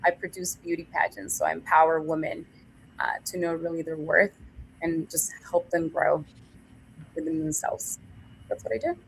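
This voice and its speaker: Venezuelan-born woman, lower voice, earnest, talks at length on a panel show. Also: fairly even intonation, normal speed, vocal fry, slight background noise, low-quality recording. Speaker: panel guest, pageant producer. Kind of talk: casual panel conversation.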